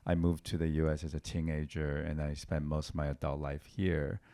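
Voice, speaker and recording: A man with a very deep, low voice, speaking on a panel. He is from Taiwan and talks about his film thoughtfully, often pausing and restarting mid-sentence. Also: flat delivery, mellow. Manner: thoughtful, hesitant, many fillers and restarts. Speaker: film director. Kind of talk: panel Q&A conversation.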